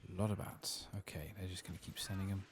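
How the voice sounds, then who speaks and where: A soft-spoken man giving quiet ASMR commentary as he plays through a video game. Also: deep voice, steady tone, quick pace. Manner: soft-spoken, sometimes dropping to a whisper. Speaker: gaming channel host. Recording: clear background noise with clicking.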